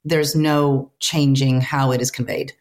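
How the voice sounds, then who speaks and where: Low-voiced woman, earnest and searching. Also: little pitch movement, a little slow, darkish tone. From United States, Ukrainian family. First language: Ukrainian. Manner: earnest, searching for words, frequent restarts. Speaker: translator, interview guest. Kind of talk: reflective interview answers.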